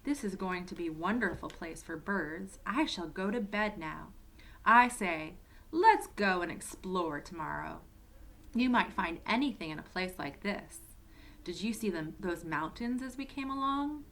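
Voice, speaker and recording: A woman's voice: on the low side, expressive, a little slow, a bit bright, heavily acted. Lady doing a storybook schoolboy, big brother type, eager n sure of himself, emphatic, up for adventure. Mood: eager, confident.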